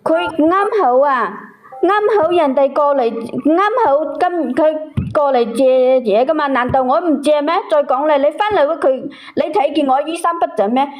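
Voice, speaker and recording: A woman caller, explaining herself defensively in halting, repetitive phrases. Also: high voice. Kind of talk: casual unscripted explaining.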